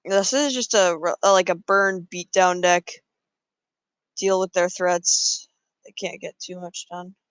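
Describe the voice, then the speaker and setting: A man's voice: high voice, fairly even intonation, measured pace, fairly bright tone, clear, resonant tone, no echo. A man casually talking through his moves in a card game, thinking aloud with frequent fillers. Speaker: gameplay commentator.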